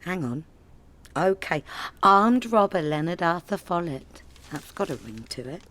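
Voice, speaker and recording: An actress playing a sarcastic, nagging wife with a London accent in a comedy radio play. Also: female voice, on the low side, animated, unhurried, fairly bright tone, dry recording. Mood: mocking.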